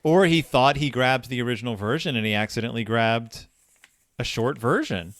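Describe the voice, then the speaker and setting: A man co-hosting a casual book discussion podcast, talking in a halting, rambling way that often interrupts itself. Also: medium pitch, a bit bright, no echo, studio quality. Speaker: podcast co-host.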